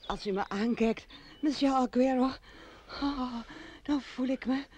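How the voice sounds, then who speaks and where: A woman's voice: high-pitched, unhurried, darkish tone, slight background noise. A woman playing a flirtatious, swooning married lady in a radio play, gushing with theatrical sighs. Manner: breathy sighing, gushing exclamations. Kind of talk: Dutch radio play acting.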